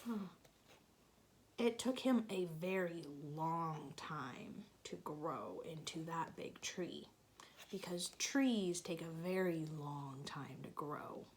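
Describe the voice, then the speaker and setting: A woman's voice: low-pitched, lively intonation, slow pace, a little hiss or hum. A woman teacher reading a picture book aloud to young children, stopping to explain things and ask them questions.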